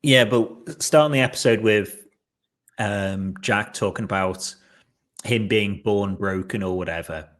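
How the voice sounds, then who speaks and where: Laid-back rambling man, lowish voice. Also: a bit bright. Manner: laid-back, rambling with fillers and quick jokes. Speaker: podcast co-host. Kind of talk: casual chat reviewing a TV episode.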